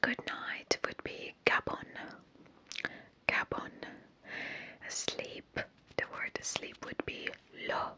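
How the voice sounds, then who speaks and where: An ASMR creator whispering slowly and softly, repeating words and hesitating over pronunciations. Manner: unsure of foreign pronunciations.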